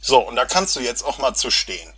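A man's voice: mid-range voice, very animated, fairly quick, a loud crowd. An agitated, angry man arguing in a confrontational, accusatory and sarcastic way in a heated voice-chat dispute. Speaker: local political party activist. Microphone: online voice chat recording.

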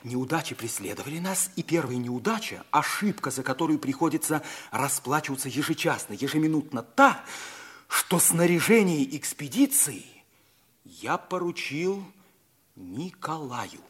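An actor playing an earnest, impassioned schoolboy hero, speaking with fervent dramatic pauses in a 1950s radio drama. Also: male voice, on the high side, animated, fairly bright tone, slightly rough. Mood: fervent storytelling. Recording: vintage 1950s radio play recording.

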